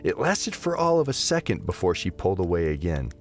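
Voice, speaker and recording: A man's voice: low-pitched, big pitch swings, a little fast, soft background music. A man narrating a scripted fantasy story expressively as the narrator of an audio drama.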